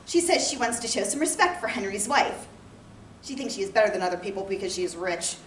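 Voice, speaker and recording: A woman's voice: mid-range voice, lively intonation, quick pace, fairly bright tone, natural voice. An actress playing a loving, anxious farm wife of the 1860s, speaking her letters to her husband as an emotive stage monologue in plain, old-fashioned rural phrasing. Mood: pleading, wistful. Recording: roomy, distant mic with background noise.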